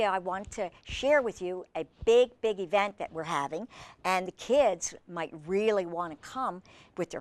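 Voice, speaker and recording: A woman hosting a children's storytime, reading aloud with drawn-out emphasis and chatting in asides to young listeners. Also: mid-range voice, fairly bright tone, dry recording. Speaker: host reading picture books to children.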